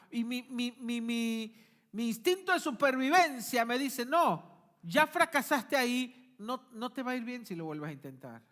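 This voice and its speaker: Male pastor, high lively voice, emphatic preaching style. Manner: emphatic preacher, rising and dropping to quiet asides. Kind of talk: sermon. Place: church.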